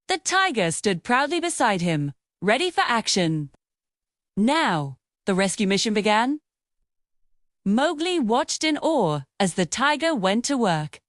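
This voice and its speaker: A woman narrating a scripted children's bedtime story in an expressive, dramatic storytelling manner. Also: on the high side, dry recording, clean studio sound.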